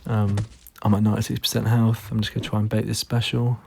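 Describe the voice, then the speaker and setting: A man with a hoarse, fading voice, giving tired, laid-back gameplay commentary full of ums. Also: fairly low pitch, flat delivery, quick pace. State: hoarse, losing his voice; tired, yawning late at night. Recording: noisy recording with clicks.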